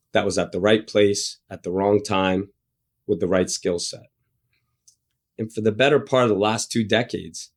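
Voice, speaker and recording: Middle-aged man born in Egypt, raised in New Jersey. Candid guest storyteller, blunt and wry, with a lower voice. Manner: blunt, self-deprecating, casual swearing. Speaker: interview guest, undercover agent.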